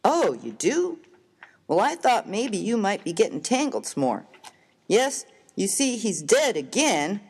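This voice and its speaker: Man playing a rough, animated Western miner, echoing hall. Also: high voice, measured pace, heavily acted. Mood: earnest, slangy. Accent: American. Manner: folksy, slangy, emphatic, expressive. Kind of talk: dramatized reading of a humorous story. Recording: reverberant hall-like room.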